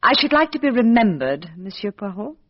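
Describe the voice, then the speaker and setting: A vain aristocratic murderess talks airily, her pitch swooping extravagantly. The voice is breathy and wholly unrepentant. It is a muffled radio drama recording. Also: very animated, brisk, no room sound. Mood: airy, unrepentant. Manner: airy, self-absorbed, unrepentant.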